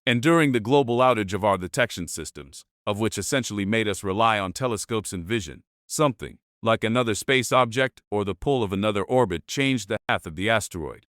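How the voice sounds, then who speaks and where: A man playing a solemn government spokesman giving a formal, measured, rhetorical address to the nation in a disaster drama. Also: fairly low pitch, lively intonation, a bit bright, dry recording, studio quality. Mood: grave, explanatory. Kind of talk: formal dramatic televised speech.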